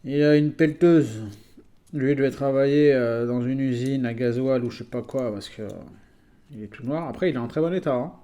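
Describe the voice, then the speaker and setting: A man's voice: medium pitch, fairly quick, warm tone, lo-fi sound. A man from France presenting his toy vehicle collection in a casual video, chatty and full of hesitations. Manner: chatty, hesitant with many fillers.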